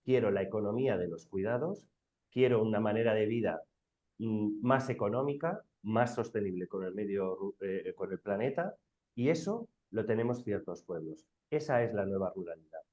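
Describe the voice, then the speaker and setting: Low-voiced northern Spanish man, dull audio. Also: animated, moderate pace, a little mellow. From Bilbao, Spain. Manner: emphatic, colloquial, uses rhetorical questions. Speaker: round-table panelist. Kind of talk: informal panel talk.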